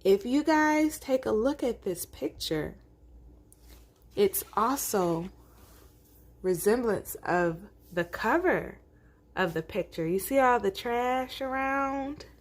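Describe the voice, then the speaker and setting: A woman's voice: on the high side, animated, slow. Woman reading a children's story aloud. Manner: addresses young listeners directly, conversational. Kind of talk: read-aloud narration with chatty asides.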